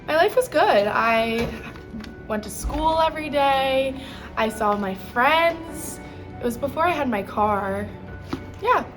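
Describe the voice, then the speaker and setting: A seventeen-year-old young woman gives short, candid answers in a somewhat high voice. Music plays under her words. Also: animated, slow pace. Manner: candid, brief and plain-spoken. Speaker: high school student interviewee. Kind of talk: documentary interview. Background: background music.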